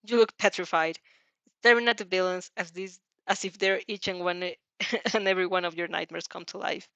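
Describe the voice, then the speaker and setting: A woman reading a story aloud as narrator, dramatic and a little halting, stumbling over some words. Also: fairly low pitch, fairly even intonation, vocal fry, pushed and bright, no room sound, poor audio. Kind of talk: expressive fan fiction read-aloud.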